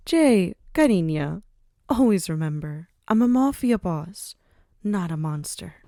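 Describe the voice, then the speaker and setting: A woman playing a commanding, cultured mafia boss in a scripted audio drama, poised and grandly formal, with sly warmth. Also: lowish voice, expressive, takes it slowly, pauses often, no echo, clean studio sound. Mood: pleased, sly. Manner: poised, grandly formal, uses endearments like dearie. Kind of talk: scripted audio roleplay acting.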